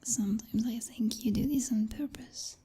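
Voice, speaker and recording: A woman's voice: fairly high pitch, mellow, breathy edge. A woman playing a possessive vampire girlfriend in an intimate ASMR roleplay, whispering breathily in a teasing, dominant, coddling purr. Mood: condescending, tender. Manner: slow, purring, teasing, condescending pet names.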